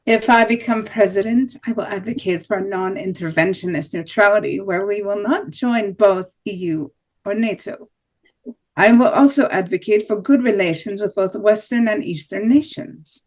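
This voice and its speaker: A woman's voice: mid-range voice, normal speed, a little mellow, close to an everyday voice, poor audio. A woman playing a hardline, defiant nationalist presidential candidate in an amateur table read of a debate. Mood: firm.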